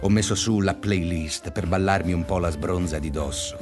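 A man's voice: deep voice, moderate pace, over music, natural voice. An actor playing an easygoing, aimless man who narrates his summer conversationally in a radio drama. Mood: tipsy musing. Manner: conversational, musing.

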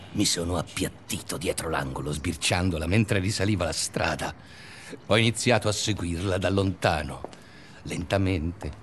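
An actor playing an obsessive, bitter stalker confessing in a brooding, intimate radio drama monologue. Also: male voice, sits low, fairly bright tone, slight background noise. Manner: brooding, intimate narration with agitated surges. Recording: music under the voice. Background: music.

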